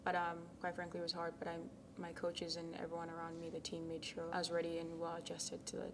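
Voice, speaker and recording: A young woman from Ghana, a college tennis captain, answering interview questions in a soft, casual way with many ums, sometimes dropping to a near whisper. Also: on the low side, completely flat delivery, fast. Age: young adult. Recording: noisy background.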